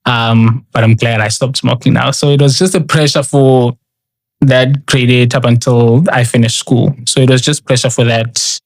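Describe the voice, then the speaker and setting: A man's voice: medium pitch, fairly even intonation, normal speed, no room sound, low-quality recording. Young guy, open and reflective, dry close sound. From South Africa. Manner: reflective, candid, searching for words. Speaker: guest, barista. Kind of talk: candid interview storytelling.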